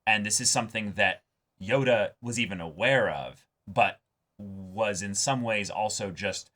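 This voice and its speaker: Low-voiced man in earnest, halting discussion. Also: fairly bright tone. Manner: halting, repeats and restarts phrases as he explains earnestly. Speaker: reaction show co-host. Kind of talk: casual discussion and commentary.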